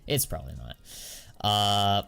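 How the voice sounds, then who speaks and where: A young American man chatting casually on a live stream, answering viewers in a relaxed, slangy way. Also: fairly low pitch, measured pace, creaky, clean tone, faint music behind. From United States. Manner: slangy and laid-back, drawls filler words.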